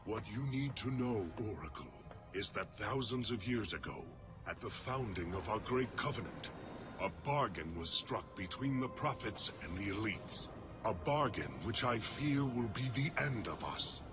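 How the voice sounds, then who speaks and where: A solemn alien commander acted by a man speaks very low, with dramatic rises and falls. He is stately, formal and commanding, and a game score plays loudly behind him. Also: expressive, moderate pace, lightly acted. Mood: grave, foreboding. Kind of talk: game cutscene acting. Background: music.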